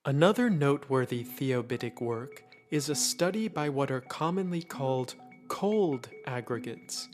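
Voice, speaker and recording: A man's voice: mid-range voice, lively intonation, unhurried, faint music behind. A man giving a scripted reading of a mock-scholarly literary essay. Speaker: reader of a literary text.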